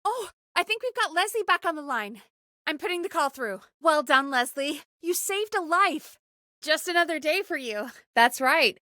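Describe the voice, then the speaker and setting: High-voiced, expressive radio producer woman. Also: no room sound, clean studio sound. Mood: warm, encouraging, then upbeat, businesslike. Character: earnest, wry woman radio producer and call screener. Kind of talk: video game voice acting.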